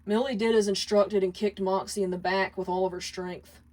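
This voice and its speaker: A woman reading fan fiction aloud as a dramatic, deliberate story narration. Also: mid-range voice, fairly even intonation, darkish tone, poor audio.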